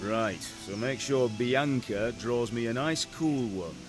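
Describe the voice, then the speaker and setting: A male actor as a gruff, middle-aged medieval blacksmith in a video game, fatherly but bossy. He speaks firmly and plainly in a medium-to-low male voice, with prominent music underneath. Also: slow pace, natural voice. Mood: teasing, bossy. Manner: firm, plain-spoken, bossy. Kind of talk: video game cutscene acting. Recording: music behind the voice.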